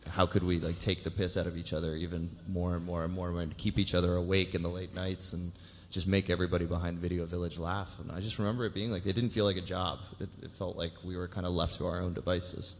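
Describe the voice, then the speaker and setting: Low-voiced man in an echoey hall. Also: level tone, fast. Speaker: actor on a cast panel. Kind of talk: casual, joking panel chat. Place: large hall at a live festival event. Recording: echoey hall, noisy live panel recording.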